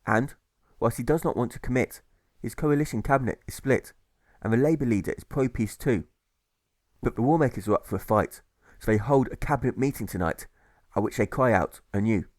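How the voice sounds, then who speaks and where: Male audiobook narrator, medium pitch, rhythmic rhyming delivery, measured phrases, close dry recording. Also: dry recording. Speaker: author reading own audiobook.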